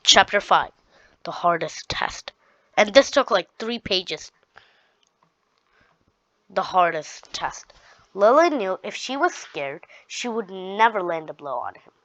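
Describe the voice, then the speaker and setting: A woman reading her own story aloud, halting often and restarting her sentences. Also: mid-range voice, very animated, fairly bright tone, no echo. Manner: halting, with frequent restarts and repeated words. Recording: dull, dry lo-fi recording.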